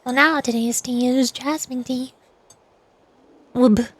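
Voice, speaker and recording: A woman's voice: high voice, steady tone, slow pace, husky. A woman streaming a game, chatting casually with viewers in a playful, rambling, teasing way. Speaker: livestreamer playing a game, reading dialogue aloud. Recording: music playing behind the voice. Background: music.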